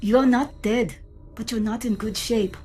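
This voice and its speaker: A woman's voice: on the high side, steady tone, unhurried, close to an everyday voice. A woman playing a ship's artificial intelligence in a sci-fi drama, speaking in a precise, dry, clinical way. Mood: grave, clinical. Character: coolly precise, dryly witty female ship's artificial intelligence. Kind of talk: scripted sci-fi audio drama acting. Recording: music under the voice. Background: background music.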